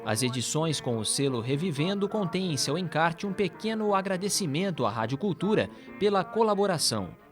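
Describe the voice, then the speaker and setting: Higher-voiced Brazilian man narrating over music. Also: big pitch swings, a little fast, few pauses. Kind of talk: scripted documentary narration. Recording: music playing behind the voice. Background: background music.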